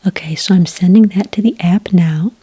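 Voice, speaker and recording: A woman speaking in a soft, whispery voice close to the mic, playing a polite, unhurried agent in an ASMR roleplay. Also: on the low side. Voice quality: breathy, whispery. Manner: gentle, unhurried, politely procedural. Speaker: ASMR roleplayer acting as a werewolf report agent.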